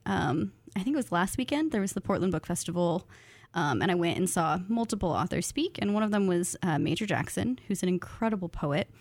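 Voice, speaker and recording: A woman's voice: on the low side, expressive, brisk, a little mellow, dry recording. A woman in her thirties talking casually and reflectively as an interview guest, earnest, enthusiastic and informal. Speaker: podcast guest, creative nonfiction graduate student and writer.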